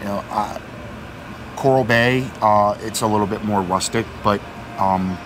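A man's voice: lowish voice, measured pace. A middle-aged man co-hosting a vlog, talking casually at a marina dock, repeating words and using many fillers. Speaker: vlog co-host. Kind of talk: casual explanatory chat. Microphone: fixed camera at a few meters. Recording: outdoor noise behind.

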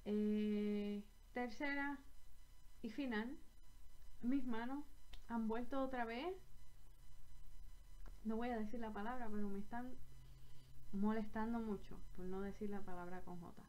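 A young Puerto Rican woman talks to her webcam in a small bedroom, her voice sitting a little high. She chats in a loose, rambling way, often stopping to correct herself mid-phrase. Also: fairly even intonation, measured pace, long pauses, a little mellow, low-quality recording. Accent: Puerto Rican Spanish. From Puerto Rico. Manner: chatty, rambling, self-correcting. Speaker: knitting vlogger. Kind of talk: casual chat to camera.

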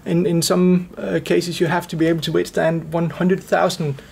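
A man in his forties giving measured technical explanations as an expert in an interview. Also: on the high side, flat delivery, vocal fry, darkish tone, slight background noise. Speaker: expert guest, loudspeaker driver engineer.

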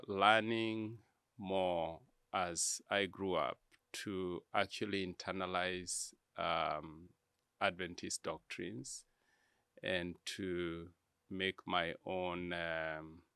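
A man with a Kenyan accent answering interview questions in a measured, halting, deliberate way. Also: low-pitched, gravelly, pushed and bright, clean tone, no echo, clean studio sound. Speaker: interview guest, church media executive. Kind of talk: interview conversation, reflective answers.

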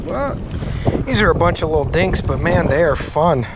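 A man's voice: a little high, expressive, normal speed. A young man talking casually while fishing at a pond, upbeat and chatty, recorded outdoors on an action camera. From Texas. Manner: upbeat, chatty, laughs often. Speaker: fishing vlogger. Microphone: action camera on body or tripod. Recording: loud wind noise on camera mic. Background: wind.

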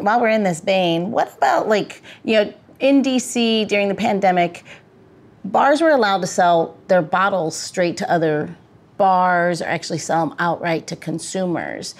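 A woman's voice: mid-range voice, lively intonation, normal speed. An American woman hosting a podcast, chatting casually and asking questions. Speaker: podcast host.

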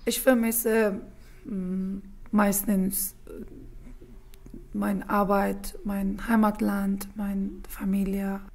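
A woman's voice: mid-range voice, flat delivery, gravelly, a little mellow. Woman speaking hesitant, German. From Afghanistan. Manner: halting non-native German with frequent hesitations. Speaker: journalist interviewee. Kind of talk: documentary interview.

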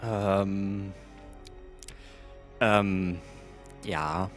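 A man's voice: deep voice, level tone, extremely slow. A man co-hosting a live stream in casual banter. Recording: music behind the voice. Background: music.

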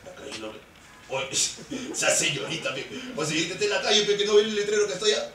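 A man's voice: high-pitched, sing-song, fast, noisy background. A man voicing a fed-up, slangy bricklayer puppet with a Peruvian accent in a live puppet show, complaining in an exaggerated streetwise way. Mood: mocking. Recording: echoey open shed, distant mic, music.

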